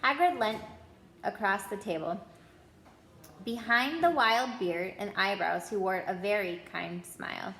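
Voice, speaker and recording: A woman storyteller reading a children's storybook aloud in expressive narration. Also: mid-range voice, a little slow, echoey room, slight background noise.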